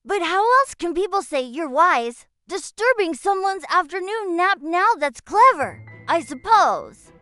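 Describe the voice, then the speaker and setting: A woman's voice: very high-pitched, lively intonation, unhurried, hardly any pauses, sharp tone, dry recording, clean studio sound, faint music behind, heavily acted. A woman voicing a young, cheeky shepherd boy in a cartoon fairy tale, with a childlike delivery. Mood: mocking. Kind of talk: animated fairy tale character acting.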